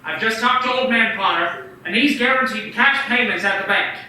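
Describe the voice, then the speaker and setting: A man's voice: very high voice, moderate pace, exaggerated voice. A man playing an earnest young small-town banker. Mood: pleading. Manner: pleading, urgent, animated. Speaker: radio play actor. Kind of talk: community radio play acting. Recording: echoey room, far from the mic.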